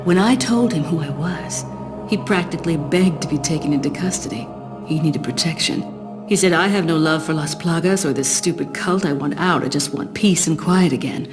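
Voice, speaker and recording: An actress playing a cool, calculating spy in a video game, composed and aloof. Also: female voice, low-pitched, moderate pace, music in the background, natural voice. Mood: detached, calculating. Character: cool, secretive, calculating female spy, adult. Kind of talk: video game cutscene acting and narration.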